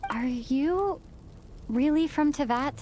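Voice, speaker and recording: Fairly high-voiced young traveler. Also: female voice, slow, dark tone, slight background noise. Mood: curious. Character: young traveler, earnest, gentle and curious. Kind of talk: video game character acting. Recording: faint background music.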